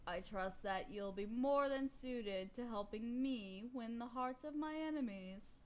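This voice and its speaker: Woman acting a haughty, high-voiced evil queen. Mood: smug, threatening. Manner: formal, pompous, condescending, slow deliberate delivery. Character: imperious evil queen and sorceress, haughty, menacing, dominant. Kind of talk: scripted fantasy audio roleplay addressed to listener.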